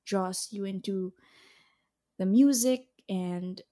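Soft-toned woman, hesitant. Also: mid-range voice, slow. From Philippines. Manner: hesitant, many fillers and restarts, occasional laughs. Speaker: opera singer, soprano guest. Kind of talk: casual interview chat.

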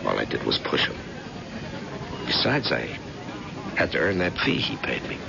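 A protective leading man, gravelly, voice swings around a lot, muffled noisy old radio recording. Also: rumbling low, wildly swooping pitch, moderate pace, heavily acted. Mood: gentle, subdued. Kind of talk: old-time radio drama acting.

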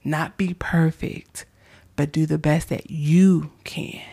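Woman podcast host, hoarse and raspy, fading into breathy whispers; gentle pep talk on faith. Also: low-pitched, steady tone, takes it slowly. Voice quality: hoarse, gravelly. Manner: soft-spoken, drops into whispers, affectionate. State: losing her voice. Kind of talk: casual faith-based encouragement.

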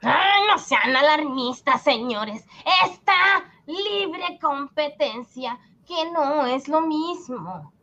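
A woman's voice: high-pitched, animated, extremely slow, hardly any pauses, sharp tone, dull audio, heavily acted. A woman playing a smug, dismissive government minister in a radio drama, drawling with exasperated condescension. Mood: condescending, exasperated.

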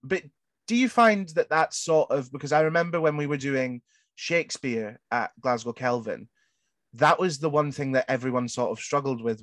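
A man's voice: mid-range voice, fairly bright tone, no room sound. A young man in his twenties hosting a podcast, chatting candidly with many stops, restarts and swear words. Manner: swears a lot, halting restarts. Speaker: podcast host. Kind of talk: candid casual chat.

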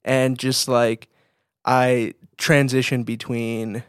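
A man co-hosting a podcast in casual conversation, with hesitant, halting phrasing. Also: medium pitch, level tone, clean tone, no room sound, studio quality. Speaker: podcast co-host.

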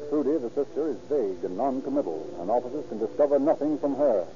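A man's voice: mid-range voice, animated, fast talker. A man narrating a scripted crime story in the style of old-time radio. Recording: dull old radio recording. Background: music.

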